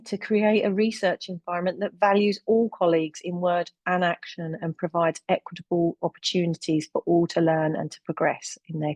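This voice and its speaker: A woman's voice: lowish voice, darkish tone, no room sound. A woman from London with a London accent, presenting a webinar as deputy director, earnest, with frequent ums and uhs. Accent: London English.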